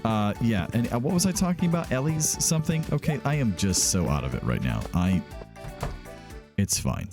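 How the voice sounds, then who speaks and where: A man's voice: mid-range voice, very animated, moderate pace. A man hosting a gaming let's play in a casual, rambling, self-deprecating way, stopping and restarting as he goes. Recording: music behind the voice. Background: background music.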